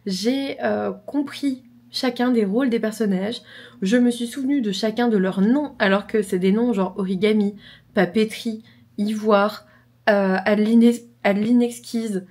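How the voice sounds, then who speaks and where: Young woman, fairly high voice, slightly congested, casual rambling vlog talk, enthusiastic. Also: a little mellow. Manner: enthusiastic, rambling, repeats herself. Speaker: book vlogger.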